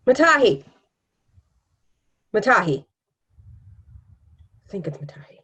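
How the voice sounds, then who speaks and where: A woman's voice: medium pitch, sing-song, takes it slowly, a bit bright, breathy edge, heavily acted. A woman acting in a table read as a feisty, foul-mouthed activist, blunt and sarcastic, on a muffled microphone. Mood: searching memory.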